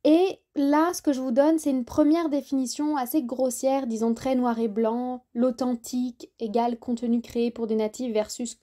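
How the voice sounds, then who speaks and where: A woman's voice: high voice, fairly even intonation, normal speed, hardly any pauses, warm tone. A woman hosting a solo podcast, explaining things informally and speaking directly to the listener in a conversational, didactic way. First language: French. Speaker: podcast host and French tutor.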